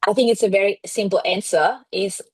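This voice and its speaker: Woman, from Austria, English speaker, breathy tone, blunt and animated, dry sound. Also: mid-range voice, a little fast, no echo. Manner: frank, animated, laughs often. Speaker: podcast guest, brand strategist. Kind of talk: casual interview chat.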